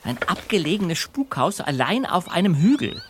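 An actor playing an excitable, uneasy teenage boy detective in a German audio drama. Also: high voice, moderate pace, a little hiss or hum.

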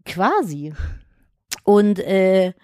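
A woman's voice: medium pitch, expressive, drawn-out words, warm tone, dry recording, clean studio sound. A woman co-hosting a podcast with her husband, speaking bluntly and with strong opinions, swearing casually. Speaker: podcast co-host. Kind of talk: casual chat.

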